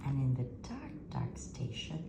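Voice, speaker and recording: A woman reading a children's picture book aloud in an expressive, hushed and drawn-out spooky storytelling manner. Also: rumbling low, gravelly. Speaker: storytime reader. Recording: roomy echo, loud background noise.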